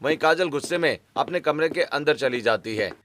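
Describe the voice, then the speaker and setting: A man's voice: on the high side, expressive, normal speed, fairly bright tone. A man giving scripted narration for a cartoon family story.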